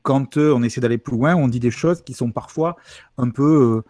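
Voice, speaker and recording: A man, a university linguistics lecturer, speaking as a guest on a video call in a lively, explanatory way with frequent asides. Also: medium pitch, moderate pace, no room sound, studio quality. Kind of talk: explaining in a livestream interview.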